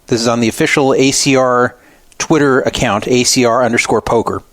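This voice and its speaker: A man's voice: mid-range voice, fairly even intonation, a little fast, a bit bright, dry recording. A man hosting a radio show, giving casual critical commentary in a sarcastic, mocking manner.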